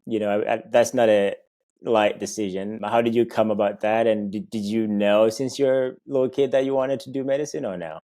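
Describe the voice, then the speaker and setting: A man's voice: lowish voice, steady tone, fairly quick, warm tone. Hesitant, eager male podcast host. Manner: hesitant, many fillers, eager. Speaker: podcast host, surgical trainee. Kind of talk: interview hosting.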